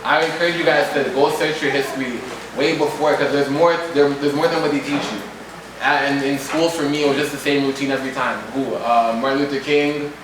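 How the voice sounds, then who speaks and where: A man's voice: medium pitch, steady tone, a little fast. Young man, event moderator, casual and direct, reverberant room, distant mic, background noise. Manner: encouraging, direct, slangy. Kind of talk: casual talk to a live audience.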